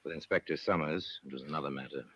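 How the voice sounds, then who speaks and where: An actor playing a grave, dryly ironic narrator in measured old-time radio drama style. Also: male voice, extremely low pitch, fairly bright tone, breathy edge, heavily acted. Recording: dull old radio recording, chatter over it.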